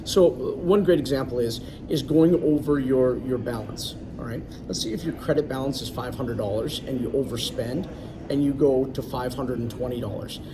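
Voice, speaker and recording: A man explains things as a guest in a confident, chatty manner. His voice sits at a middle pitch. He is recorded from a distance, with clear background noise. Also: moderate pace. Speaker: loan originator, guest. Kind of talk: casual interview.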